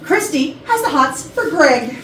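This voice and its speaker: A woman's voice: high voice, big pitch swings, measured pace, a bit bright, heavily acted. A woman playing a snippy, guarded office employee in a staged comedy reading, with a pointed, disdainful manner. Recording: distant mic, echoey noisy room.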